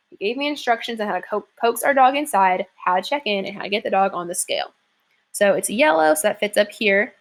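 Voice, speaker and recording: Casual young female teacher, relaxed filler-heavy delivery, mid-range voice, explaining an assignment. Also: medium pitch. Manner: casual, chatty, many "like" and "um" fillers. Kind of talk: classroom lesson walkthrough.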